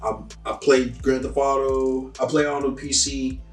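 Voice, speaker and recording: A man's voice: medium pitch, level tone, unhurried. A young man answers interview questions in a loose, rambling way, filling every gap with "um" and "like". He sits a little back from the mic, so his voice sounds distant in an echoey room. Faint music plays under him. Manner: laid-back, rambling, lots of "um" and "like".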